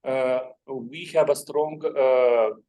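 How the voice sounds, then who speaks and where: A man who is a non-native English speaker, presenting financial results as a company executive in an explanatory, measured way. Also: mid-range voice, steady tone, dark tone. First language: not English. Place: conference call.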